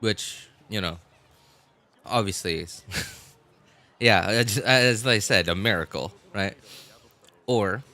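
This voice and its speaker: A man livestreaming games in casual chat, talking in a halting, rambling way and often repeating himself. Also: fairly low pitch, sharp tone. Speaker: live game streamer. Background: music.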